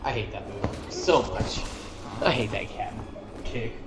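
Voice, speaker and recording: A man's voice: fairly low pitch, measured pace. Man commenting casually in an echoey room. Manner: informal, enthusiastic fan talk. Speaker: gaming video creator. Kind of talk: casual commentary over game cutscenes. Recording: roomy echo, music playing behind. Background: game cutscene music.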